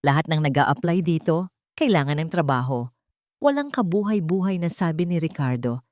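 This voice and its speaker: A woman with a Filipino accent reading a dramatic story as an audiobook narrator, solemn and expressive with deliberate pauses. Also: sits low, dry recording, clean studio sound.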